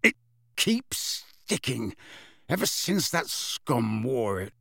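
A man's voice: mid-range voice, very animated, a little slow, vocal fry, fairly bright tone, husky, no room sound, studio quality, heavily acted. A man voicing a paranoid, irritable veteran wizard in an audiobook, curt and commanding, with a growling voice. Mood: angry. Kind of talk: audiobook character voice.

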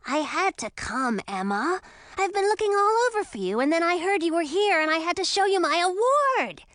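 A woman voicing an adolescent male dragon, eager and childlike, pleading in a high voice. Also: very animated, moderate pace, a bit bright, dry recording, clean studio sound, exaggerated voice.

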